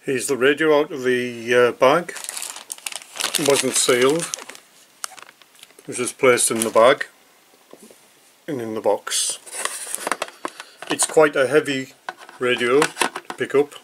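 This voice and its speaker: A man talks through an unboxing in a casual, unhurried way. He speaks slowly and hesitantly, breaking his thoughts into short pieces. Clear background noise and clicks sit behind his voice in a homemade recording. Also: medium pitch. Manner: hesitant, piecemeal delivery with long pauses. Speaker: hobbyist product reviewer.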